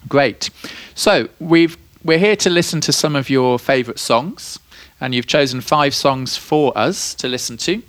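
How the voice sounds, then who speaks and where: A man's voice: mid-range voice, unhurried, few pauses, a bit bright, no room sound. A British man hosting a podcast, chatting in a friendly, encouraging way and asking lots of tag questions. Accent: British English. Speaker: podcast host. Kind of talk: friendly interview chat. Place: indoor room at a youth music week.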